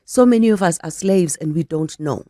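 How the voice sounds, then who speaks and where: Croaky older woman, low and mellow. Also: no echo, clean studio sound. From South Africa. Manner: reflective, measured storytelling. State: croaky from a flu. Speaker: actress and guest. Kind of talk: radio interview.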